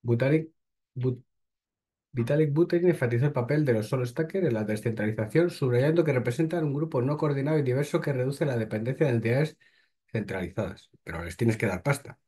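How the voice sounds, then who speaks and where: Husky-voiced man with blunt asides. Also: medium pitch, mellow. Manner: reads a passage aloud, then adds short blunt remarks. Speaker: host. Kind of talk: casual commentary reading crypto news.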